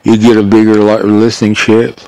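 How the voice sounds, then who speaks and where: An older man with an American accent and a fairly low voice speaks as a radio show host. He tells his story casually and conversationally, wandering as he goes and slipping into reported dialogue. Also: monotone, a little fast, mellow, no echo, low-quality recording. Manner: rambling, conversational, re-enacts dialogue.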